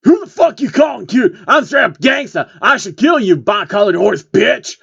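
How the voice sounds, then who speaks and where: A man's voice: high voice, big pitch swings, moderate pace, vocal fry, fairly bright tone, breathy edge, muffled recording, pitched above the performer's own voice, exaggerated voice. A man voicing a brash, hot-tempered crunk rapper who hollers in crude street slang. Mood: angry.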